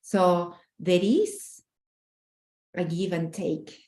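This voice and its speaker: Woman, middle-aged, fairly low voice; earnest coach who restarts phrases. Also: expressive, extremely slow, darkish tone. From Argentina. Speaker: relationship coach guest. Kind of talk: casual interview conversation.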